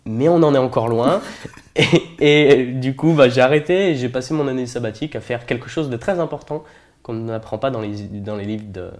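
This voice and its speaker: A man in his twenties with a French accent and an average-pitched voice. He talks casually as a guest in an interview. His manner is jokey and happy to poke fun at himself. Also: normal speed. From France. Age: 20s. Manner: jokey, self-deprecating.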